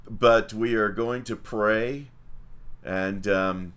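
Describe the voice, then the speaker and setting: A priest speaks in a low, unhurried voice. He leads morning prayer on a livestream, chatting in a folksy way and greeting viewers by name. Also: fairly even intonation, a bit bright, smooth.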